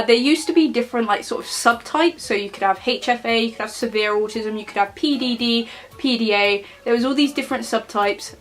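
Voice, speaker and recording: A woman's voice: fairly high pitch, fairly bright tone. Twenty-something woman vlogging, stuffy nasal voice from a cold, lots of ums, faint music behind. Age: 20s. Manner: chatty, plain-spoken, many fillers. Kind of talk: casual talk to camera.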